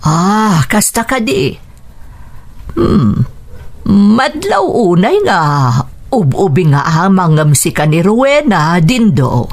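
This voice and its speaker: Radio drama: older Ilocano mom, husky and highly expressive, stern, protective, kind underneath. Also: female voice, takes it slowly, heavily acted. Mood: curious, doubtful. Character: strict but kindly older mother, doubtful and protective.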